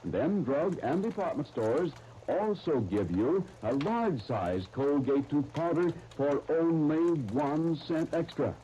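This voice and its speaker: A man announcing and narrating in scripted 1930s radio style, with a polished, measured delivery. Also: fairly high pitch, animated, dark tone. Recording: muffled, noisy 1939 radio disc recording.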